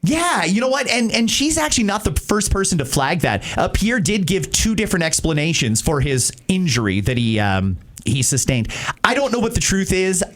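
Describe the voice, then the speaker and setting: Canadian guy radio host, kinda high voice, really animated, chatty with opinions. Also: normal speed. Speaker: radio talk show host. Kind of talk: conversational radio commentary on listener messages.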